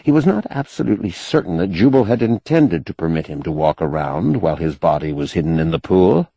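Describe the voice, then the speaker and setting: A man reading a novel aloud as an audiobook narrator, in an expressive, measured storytelling manner with careful phrasing. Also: deep voice, vocal fry, breathy edge, dry recording. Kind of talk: scripted narration of a science fiction novel.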